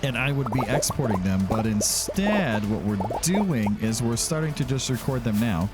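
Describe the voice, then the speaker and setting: A man's voice: mid-range voice, lively intonation, moderate pace. A man hosting a gaming let's play in a casual, rambling, self-deprecating way, stopping and restarting as he goes. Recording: music behind the voice. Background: background music.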